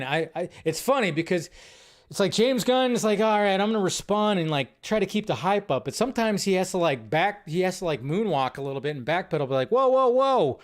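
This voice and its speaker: A man hosting a casual live movie news show, rambling through his commentary in an animated way with exasperated asides. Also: on the high side.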